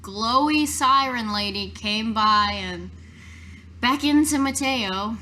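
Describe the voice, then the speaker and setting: A woman's voice: a little high, takes it slowly, pushed and bright, a little hiss or hum. A woman playing a tabletop game, improvising casually in character.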